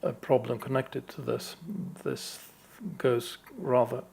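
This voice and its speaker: A man from Sweden answering audience questions at a conference, slow and hesitant with many fillers. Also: mid-range voice, steady tone, gravelly, darkish tone, breathy edge. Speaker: conference speaker.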